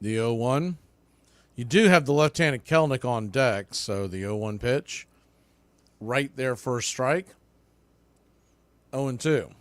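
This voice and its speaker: A man's voice: medium pitch, takes it slowly, long pauses, no echo. A man giving live radio play-by-play commentary of a baseball game as the announcer.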